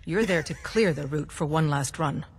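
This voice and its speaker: Brisk resistance leader. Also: female voice, low-pitched, slightly rough, long reverb tail, exaggerated voice. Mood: briefing. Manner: businesslike, giving orders.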